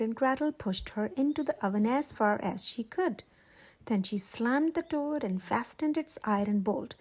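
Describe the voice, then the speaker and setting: A woman's voice: sits high, darkish tone. A woman storyteller reading a children's picture book aloud as scripted narration. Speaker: storyteller, channel host.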